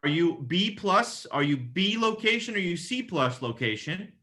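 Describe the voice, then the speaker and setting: Bright, forceful higher-pitched man coaching. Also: big pitch swings, normal speed. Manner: emphatic, casual, mildly profane, checks in with "okay?". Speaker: real estate agent giving advice. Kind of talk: conversational coaching with acted-out example phone calls.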